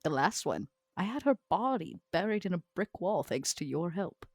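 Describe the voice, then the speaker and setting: A woman playing a proud, shrewd, long-lived vampire enforcer in tabletop roleplay, with a theatrical, deliberate and cutting delivery. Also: fairly low pitch, big pitch swings, a little mellow, dry recording. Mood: bitter, proud.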